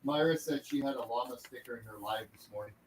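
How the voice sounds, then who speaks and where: Husky woman speaking from off-mic. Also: very deep voice, fast. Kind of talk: casual offhand remarks. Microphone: off-mic, away from the microphone. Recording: quiet, distant from the mic.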